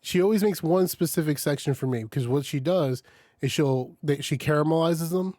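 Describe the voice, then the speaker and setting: A man's voice: fairly high pitch, moderate pace, no room sound, clean studio sound. A man hosting a casual podcast, swearing freely and laughing a lot as he explains how he packs his leftovers. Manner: animated storyteller, swears often, laughs a lot. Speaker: podcast host. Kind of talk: casual chat.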